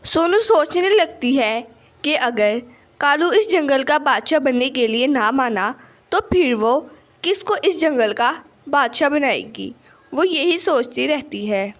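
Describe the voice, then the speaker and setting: A woman's voice: sits high, expressive, slow. A woman narrating a scripted children's story.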